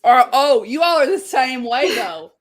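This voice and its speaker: A woman with an animated voice that moves freely up and down in pitch. Her talk is casual, blunt and teasing, in the manner of a book reviewer chatting with other hosts. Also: a little slow. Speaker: book reviewer co-hosting a live chat. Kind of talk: casual chat.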